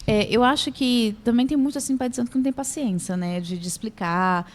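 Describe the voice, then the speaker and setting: A Brazilian woman with a fairly high voice hosts a relaxed podcast conversation. She laughs often and keeps the chat lively and animated. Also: fairly quick. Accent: Brazilian Portuguese. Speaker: podcast host. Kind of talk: casual chat.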